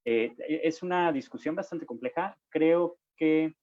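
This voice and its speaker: A man's voice: a little high, measured pace, darkish tone, dry recording, dull audio. A young man speaking as a panelist in an online discussion, hesitant, with many fillers and restarts. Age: young adult. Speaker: panelist, young political activist. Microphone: video call.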